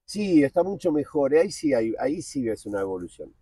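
A man in his forties with a Buenos Aires accent, a guest talking candidly outdoors in a park, blunt and slangy, often halting and restarting. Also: medium pitch, sing-song, dark tone, husky, no room sound. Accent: Buenos Aires Spanish. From Argentina. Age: 40s. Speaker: guest, TV producer. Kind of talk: candid casual interview.

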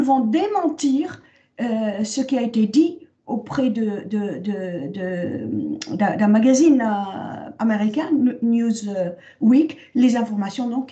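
A woman's voice: a little high, dark tone, roomy sound, highs cut off. A woman interviewer who politely asks long, carefully framed questions. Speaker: interviewer, host.

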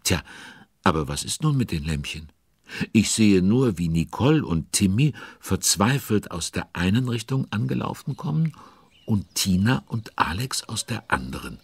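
A man narrating a children's audio drama as a genial storyteller, expressive and chatty with young listeners. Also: on the low side, moderate pace, husky, no echo, heavily acted. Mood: excited.

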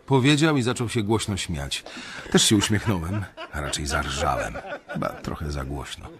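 Low-voiced, brooding young banker. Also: male voice, animated, a crowd in the background. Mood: brooding, measured. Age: young adult. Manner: measured, introspective storytelling. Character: young male banker, brooding, conscience-stricken narrator. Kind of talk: first-person radio drama narration.

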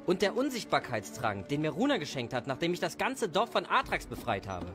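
A man's voice: fairly high pitch, wildly swooping pitch, brisk, music in the background. A man playing a young, proud and naive village hero in an audio drama. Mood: defensive, proud.

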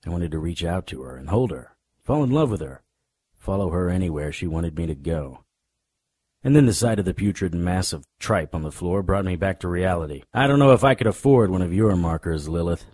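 Deep, husky, wry noir investigator. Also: male voice, brisk, no echo. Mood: wry, cool. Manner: wry, deadpan. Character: cocky, weary male paranormal investigator narrating noir-style. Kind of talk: radio drama noir narration.

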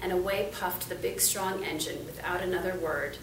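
A woman's voice: fairly low pitch, steady tone, moderate pace. A middle-aged woman reading a children's picture book aloud as a storyteller, indoors and a little way from the camera. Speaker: volunteer storybook reader. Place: small indoor room, seated. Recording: roomy, distant camera mic, faint noise.

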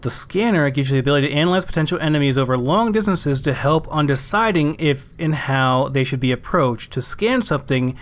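A man's voice: a little high, lively intonation, brisk, hardly any pauses. A man giving casual let's play commentary, thinking aloud as he plays.

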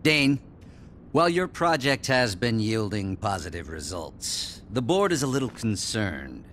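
A man acting as a stern corporate board member in a video game, formal, measured and admonishing. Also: on the low side, very animated, a bit bright, a little hiss or hum.

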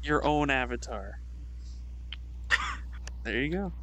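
A man's voice: mid-range voice, expressive, slow, fairly bright tone, muffled recording, slight background noise. A man hosting a casual livestream in a social game, talking with friends and reading aloud. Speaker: streamer and host.

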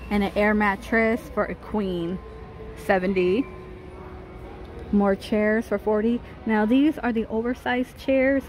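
A woman in her thirties, chatting casually as she walks a large warehouse store and reads out prices, filming on a handheld phone. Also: fairly high pitch. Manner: chatty, reading out prices, small laughs. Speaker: shopping vlogger. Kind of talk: casual shop-with-me narration. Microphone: handheld phone camera, first-person view.

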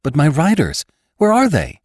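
A man's voice: fairly low pitch, expressive, moderate pace, a little mellow, dry recording, clean studio sound. A man voicing an anxious, pleading young woman rancher in a western audiobook, with emotional, exclamatory delivery. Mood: worried. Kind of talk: audiobook character acting.

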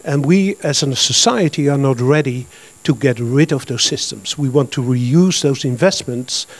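A man's voice: medium pitch, moderate pace, dry recording. Dutch-accented man presenting with a handheld mic. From Netherlands. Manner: plain, matter-of-fact, with frequent uh and um. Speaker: conference speaker. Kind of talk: business presentation. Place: large conference hall with audience. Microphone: handheld microphone.

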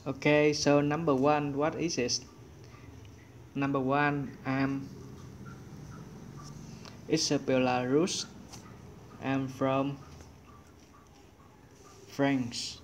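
Male english teacher going through exercises, slow and flat. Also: mid-range voice, a little hiss or hum. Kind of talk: online lesson narration.

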